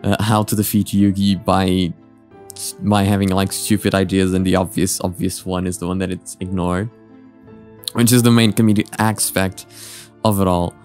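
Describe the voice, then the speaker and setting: A man's voice: low-pitched, little pitch movement, moderate pace, a little mellow, soft background music. A man hosting a reaction video with casual, rambling commentary, hesitant and often repeating his words.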